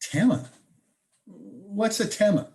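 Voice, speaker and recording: A woman's voice: mid-range voice, expressive, takes it slowly, darkish tone. A woman acting a prying, incredulous close friend in a conversational comic one-act, with teasing, probing questions. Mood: teasing disbelief.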